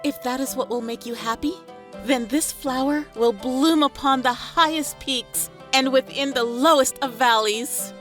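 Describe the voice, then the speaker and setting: A woman's voice: sits high, measured pace, fairly bright tone, faint music behind. A woman playing a doting, gracious mother goddess of the harvest in an audio drama, tender and solemn. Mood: generous, tender.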